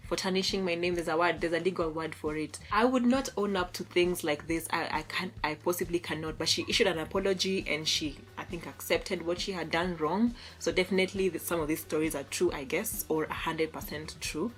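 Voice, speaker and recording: A young woman from Kenya talks through posts in a loose, chatty vlog, laughing easily and restarting her sentences as she hunts for words. Her voice sits low. A little music plays faintly in the background. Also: a little fast, hardly any pauses. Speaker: vlogger. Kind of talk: casual commentary reading tweets.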